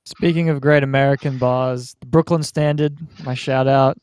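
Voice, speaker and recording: A man's voice: medium pitch, fairly even intonation, normal speed, a little mellow, smooth, dry recording. An Australian man hosting a podcast in a casual, chatty way. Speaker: podcast host. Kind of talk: casual chat.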